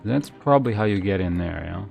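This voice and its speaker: A man chatting casually on a game livestream, thinking aloud in hesitant, trailing half-sentences with a gravelly fry to his voice. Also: sits low, animated, a little fast, darkish tone. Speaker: game streamer. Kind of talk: casual livestream gameplay chat. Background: faint game music.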